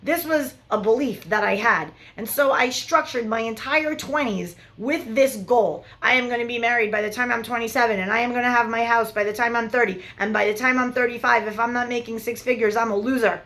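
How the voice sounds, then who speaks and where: A woman in her forties, a love coach giving frank, animated big-sister advice straight to camera. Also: a little high, a little fast, few pauses. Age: 40s. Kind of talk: direct-to-camera advice vlog.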